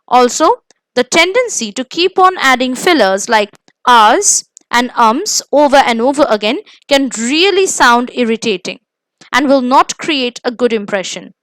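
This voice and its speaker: A woman with an Indian accent reading scripted coaching tips in a measured, emphatic instructional manner. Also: high voice, animated, fairly bright tone, dry recording. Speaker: presenter of interview coaching lesson.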